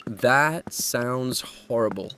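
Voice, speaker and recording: A man's voice: mid-range voice, drawn-out words. A man playing a naive, earnest and anxious young man in a horror audio drama, hesitant and easily shaken. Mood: uneasy, subdued. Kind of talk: horror audio drama acting with first-person narration. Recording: clearly noisy background.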